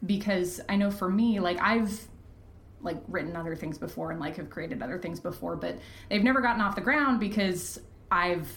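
A woman chatting casually as a podcast guest, candid and self-deprecating, quick to laugh. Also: lowish voice, fairly bright tone, a little hiss or hum. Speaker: podcast guest, fiction podcast creator and writer. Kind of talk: casual interview chat. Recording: roomy, echoey sound.

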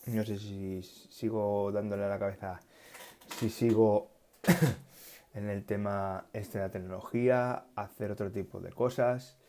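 A man talks through tech news in an unhurried, low and mellow voice. He often stops mid-word, restarts and corrects himself, musing aloud as he goes. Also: fairly even intonation, a little hiss or hum. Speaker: tech vlogger. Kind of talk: casual tech news chat.

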